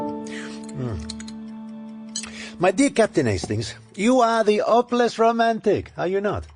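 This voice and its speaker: An actor playing a fussy, gently mocking Belgian detective in a radio drama, precise in speech, with a Belgian French accent. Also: male voice, high-pitched, very animated, slow pace, music in the background, exaggerated voice. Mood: teasing, fussy.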